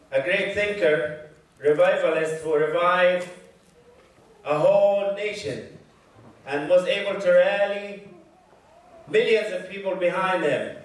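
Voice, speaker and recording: A man from Egypt with an Egyptian Arabic accent, giving a lecture at a community event, chatty with the crowd and taking care to speak slowly. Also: sits high. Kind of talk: mosque lecture to an audience. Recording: very echoey hall, voice heard from far.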